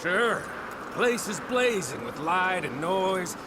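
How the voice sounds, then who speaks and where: A man's voice: high-pitched, big pitch swings, slow, heavily acted. An actor playing a sly, reckless American outlaw gunman in a western video game, cocky and taunting with a menacing edge. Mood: sly, casual. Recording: loud ambient noise behind voice.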